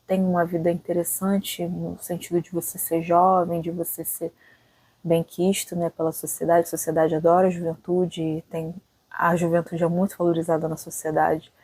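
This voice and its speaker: A woman in her late twenties from Brazil talks to her viewers in a relaxed, reflective vlog. Her voice is low and mellow, and it sounds dry and close. She speaks loosely and often tucks little check-in questions onto the ends of her sentences. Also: no room sound, rough recording. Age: late 20s. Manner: chatty, thinking aloud, frequent "né" tags. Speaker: channel host. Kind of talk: casual reflective vlog talk.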